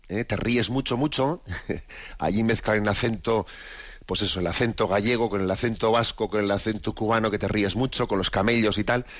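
Older bishop musing in a low voice. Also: male voice, no room sound. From Spain. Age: 60s. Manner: rambling and reflective, many fillers and restarts. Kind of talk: informal spoken reflection. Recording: dry, dull lo-fi audio.

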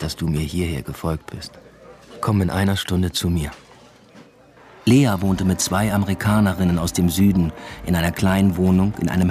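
Radio drama lead: introspective young scholar telling his story, low register, restrained, music underneath. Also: darkish tone. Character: introspective young male doctoral student narrating his own story. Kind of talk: literary radio drama narration.

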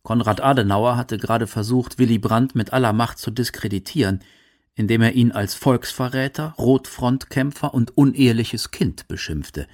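A man's voice: mid-range voice, normal speed, a little mellow. A man narrating a scripted radio documentary. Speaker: documentary narrator and quote reader.

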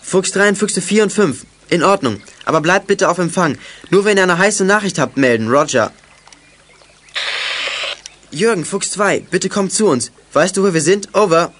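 A man's voice: sits high, expressive, moderate pace, fairly bright tone. A young male actor playing a bossy, level-headed boy detective with clipped phrasing in a 1980s radio play. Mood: firm, organizing. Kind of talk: 1980s German youth radio play acting.

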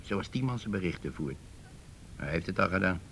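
A man's voice: low-pitched, expressive, a little fast, vocal fry, fairly bright tone, slight background noise, heavily acted. An actor playing a cynical, grumbling man in a radio drama, speaking dismissively. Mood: scornful.

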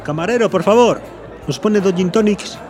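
Man plays polite, eager male newcomer, Spain accent, lightish pitch, radio play, noisy bar behind. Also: normal speed, darkish tone, a faint crowd, lightly acted. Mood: relaxed, chummy. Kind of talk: Spanish audio drama acting.

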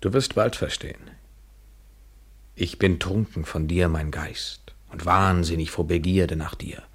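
Low, husky, world-weary lover. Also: male voice, measured pace, full character voice. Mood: feverish passion. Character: world-weary, detached male lover about to depart. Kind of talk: intimate classic German radio play acting.

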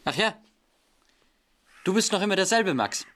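An actor playing a moody, defensive young student in a radio play. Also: male voice, high-pitched, expressive, moderate pace, fairly bright tone. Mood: defensive, blasé. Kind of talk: German literary radio play dialogue.

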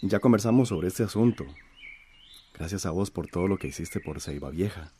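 An actor playing a kind, forgiving countryman in a radio drama, gentle and reassuring. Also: deep voice, expressive, normal speed, slight background noise. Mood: warm, tender.

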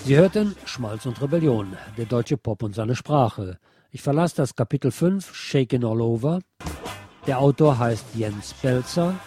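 A man reading aloud from a nonfiction book as a radio presenter, in a measured, articulate way. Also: medium pitch, expressive, music in the background. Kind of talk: scripted narration, read aloud from a nonfiction book.

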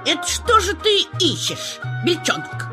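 A woman voicing a knowing, bossy motherly animal in a children's audio play, expressive and instructive. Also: extremely high pitch, measured pace, over music, exaggerated voice. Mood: teasing, bossy.

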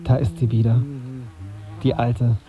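An actor playing a brooding, irritable young journalist in a radio drama, terse in dialogue and introspective in inner monologue. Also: male voice, medium pitch, little pitch movement, a little slow, over music, lightly acted.

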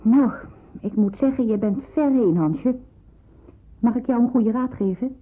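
Afkeurende jonge onderduikster, omfloerste stem. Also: female voice, on the high side, unhurried, slightly rough. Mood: annoyed, firm. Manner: disapproving, dismissive, firm. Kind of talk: Dutch radio play acting. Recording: muffled narrowband old radio play.

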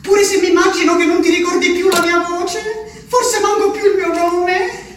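An actress playing an anguished, pleading peasant wife shut in an asylum, in a halting theatrical monologue with a rustic Abruzzese accent. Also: female voice, very high-pitched, steady tone. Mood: strained, defiant. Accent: central-southern Italian, Abruzzese. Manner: rustic, halting, tagging phrases with "eh". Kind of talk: theatrical monologue, letter spoken aloud. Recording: roomy, distant stage sound, faint noise.

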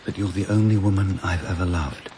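An actor playing a charming, selfish sportsman husband in a BBC radio drama, smooth and teasing. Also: sits low, fairly even intonation, a little fast, mellow, a little hiss or hum, natural voice. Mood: pleading.

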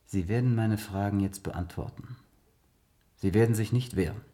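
A male actor playing a persistent, calmly authoritative biographer and interrogator in a radio play. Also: on the low side, moderate pace, natural voice. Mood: calm, probing. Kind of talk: German science-fiction radio play acting.

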